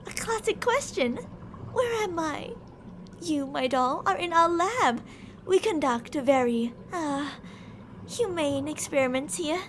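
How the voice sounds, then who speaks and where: A woman's voice: sits high, lively intonation, slow pace, fairly bright tone, a little hiss or hum, heavily acted. A woman playing a cocky, unhinged mad scientist in an ASMR audio drama, blunt and theatrical in her boasting. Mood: gleefully theatrical.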